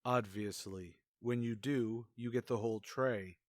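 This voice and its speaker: A man's voice: mid-range voice, steady tone, unhurried, dry recording, close to an everyday voice. An actor playing a grumpy, sarcastic older male school principal in a radio comedy, speaking in a dry, withering, pompous way. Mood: dry sarcasm. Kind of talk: old-time radio comedy acting.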